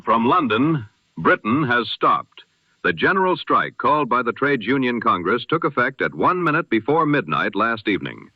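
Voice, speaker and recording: A man reading the news in the measured, solemn cadence of an old-time radio announcer. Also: medium pitch, no echo, lo-fi sound. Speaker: newsreader.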